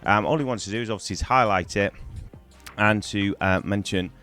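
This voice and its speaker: Middle-aged man from Wales, very low voice, hoarse from a cold, rambling chatty vlogger, cheap mic with faint music. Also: a little fast, a bit bright. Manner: rambling, chatty, many ums and restarts. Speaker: gaming YouTuber. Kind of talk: casual to-camera storytelling. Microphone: poor-quality microphone.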